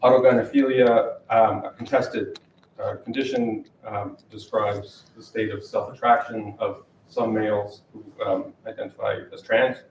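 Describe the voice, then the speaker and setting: A man moderating a public panel discussion, halting and full of fillers. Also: on the low side, level tone, a little mellow, roomy sound, recorded from a distance, a little hiss or hum, rough recording. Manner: hesitant, many fillers. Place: lecture hall with audience.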